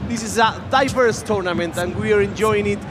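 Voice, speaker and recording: A man's voice: sits high, brisk, noisy background. An Argentine-accented man, a native Spanish speaker, giving live esports football commentary and repeating short phrases for emphasis at a venue. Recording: live venue with loud background ambience.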